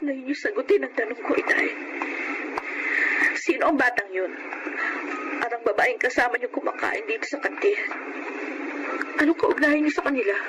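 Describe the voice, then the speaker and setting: A woman playing a troubled, earnest elder daughter in a Filipino melodrama, speaking deliberately and with pain. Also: high voice, background noise, close to an everyday voice. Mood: confronting.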